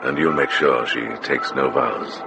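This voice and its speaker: Very deep, gravelly medieval monk. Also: male voice, fairly even intonation, measured pace, loud music behind the voice. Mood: probing. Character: wise, measured male medieval monk and investigator. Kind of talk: radio drama acting.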